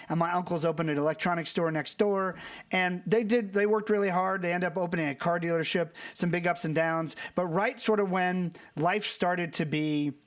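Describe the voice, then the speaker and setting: High-voiced reflective male host. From United States. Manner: reflective, conversational, talks in loose fragments. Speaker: podcast host. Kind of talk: casual interview chat.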